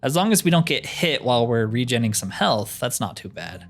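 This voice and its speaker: Easygoing guy doing casual gameplay commentary, kinda talking to himself, medium pitch, bit of music behind. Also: very animated, fairly quick, creaky, a bit bright. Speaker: gamer narrating own roleplay playthrough.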